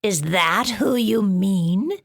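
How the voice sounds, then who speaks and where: A woman voicing a stern, scratchy-voiced female monster in a storybook, speaking slowly with cold menace. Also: mid-range voice, vocal fry. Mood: menacing.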